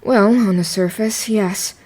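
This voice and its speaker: A woman playing a sober, realistic friend who explains things gravely in an amateur radio drama. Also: mid-range voice, slow, darkish tone. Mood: grave, resigned.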